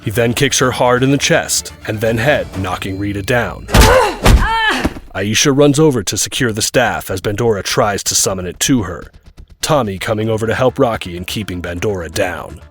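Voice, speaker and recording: A man's voice: lowish voice, moderate pace. A man delivering scripted action narration as the narrator of an audio drama. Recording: music bed under the voice.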